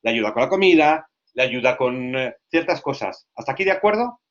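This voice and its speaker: Lively male lecturer, lo-fi sound. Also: mid-range voice, fairly quick. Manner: lively, asks frequent check questions. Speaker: language teacher trainer.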